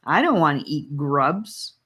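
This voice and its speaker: A woman voicing a playful, sulky, excitable young boy in an audiobook, speaking bluntly with some whine. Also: low-pitched, a little slow, husky, exaggerated voice. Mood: cheeky. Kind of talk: audiobook character voice.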